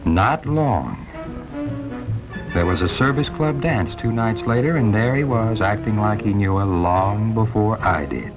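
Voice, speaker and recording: Young American serviceman, played by a man, low-pitched, folksy first-person narration on a dull 1950s film track with music. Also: measured pace, lightly acted. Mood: wry. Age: young adult. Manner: folksy, conversational, wry. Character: easygoing young airman recounting his rivalry with his cousin.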